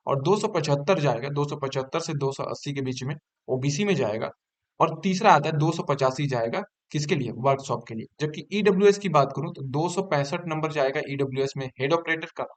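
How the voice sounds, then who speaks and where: Higher-pitched man explaining. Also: a little fast. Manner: repeats figures and key points for emphasis. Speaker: online exam-information presenter. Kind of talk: informal explanatory talk to exam candidates.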